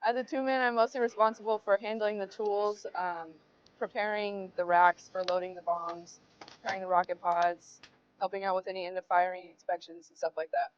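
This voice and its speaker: A woman's voice: medium pitch, level tone, fairly quick, muffled recording, slight background noise. A young woman, an air force crew member, explaining her job in an interview.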